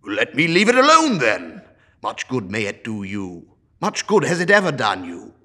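Man voicing a bitter old miser for a storybook, snapping, lively pitch. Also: measured pace, slightly rough, heavily acted. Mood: scornful. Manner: snapping, scornful. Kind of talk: storybook character reading.

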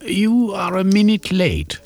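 Brief: a prim, impatient club gentleman, played by a man, voice lifted high. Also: huge pitch swings, slow pace, exaggerated voice. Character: fussy, impatient gentleman club member, male.

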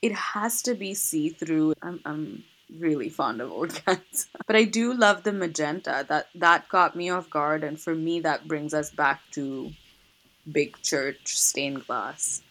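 A woman's voice: low-pitched, a little slow, fairly bright tone, poor audio. A woman in a casual conversation, thinking aloud with frequent restarts and light laughter.

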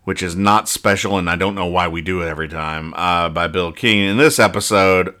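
A man's voice: low voice, steady tone, brisk, bright, forceful tone. A man hosting a casual comedy podcast, reviewing comic strips with wry mock exasperation.

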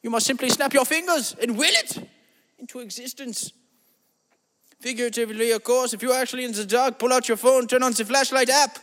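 A man putting on a grandiose self-styled guru with a thick German accent, preaching in a very high, lofty voice. He stretches his words out solemnly, then snaps into blunt asides. The voice rings with a long, hall-like reverb behind it. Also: fairly quick, fairly bright tone. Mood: grandiose rambling. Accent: put-on German accent. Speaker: comedy podcast host. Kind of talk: improvised comedy monologue in character.

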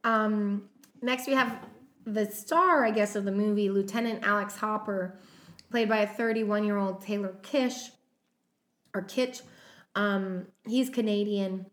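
A woman's voice: medium pitch, a bit bright. A woman co-hosting a film podcast, chatting casually through cast notes with plenty of "um" fillers. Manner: unhurried, many "um" fillers, running through notes. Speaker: podcast co-host. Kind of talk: casual chat about a film's cast.

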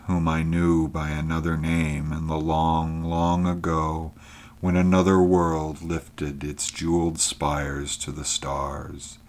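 An ancient, blind, tortured creature from another world speaks, as a male narrator puts it on, its words hushed and mournful, sometimes sinking almost to a whisper. The voice is extremely deep and unhurried. It sounds weighed down by endless suffering. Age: very old figure. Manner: hushed, mournful, breathy at times.

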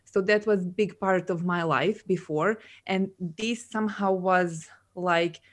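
Guest, woman, 30s; low-ish warm chat, filler-heavy. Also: steady tone, slow. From Serbia. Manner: chatty, laughs often, many fillers. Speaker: guest, coach and entrepreneur. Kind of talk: casual conversational interview.

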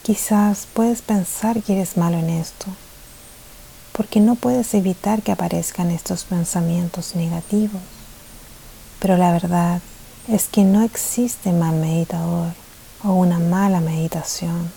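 A woman leading a guided meditation, soft-spoken and soothing, with gentle pauses and a tendency to drift toward a breathy near-whisper. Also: on the low side, fairly even intonation, low-quality recording. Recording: faint hiss behind the voice.

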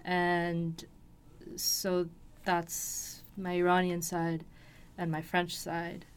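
A woman in a fairly low, very even voice. She tells a personal story as an interview guest, casually and with some hesitation, finding her words as she goes. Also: very slow, a little hiss or hum. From Los Angeles, USA. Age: 20s. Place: recording studio.

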